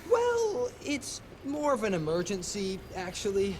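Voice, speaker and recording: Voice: medium pitch, huge pitch swings, a little slow, a little mellow, a little hiss or hum. Game cutscene: jumpy, apologetic lab helper, voice all over the place. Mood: nervous. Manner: anxious, apologetic, hesitant. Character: nervous, flustered lab assistant. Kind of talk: video game cutscene acting. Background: music score.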